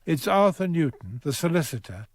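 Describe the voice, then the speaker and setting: A man narrates a period true-crime radio drama. His voice is on the higher side and recorded dry, with scripted, dramatic phrasing. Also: sing-song, moderate pace, no room sound. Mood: measured.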